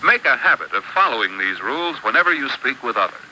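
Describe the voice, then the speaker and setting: A man narrates an old classroom film with a very bright, crisp tone. His pitch moves a lot as he sets out each rule in a measured, instructive way. A faint hiss sits under the old soundtrack. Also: big pitch swings, rough recording. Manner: measured, instructive, lists rules one by one. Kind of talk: scripted educational narration.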